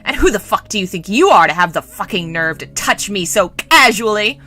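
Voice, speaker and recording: Spoiled noble brat, woman performer, novel reading: furious, foul-mouthed, forceful, wildly animated shouting. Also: mid-range voice, moderate pace, soft background music, voice pushed up. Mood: raging. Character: spoiled, furious young nobleman, rampant and raging.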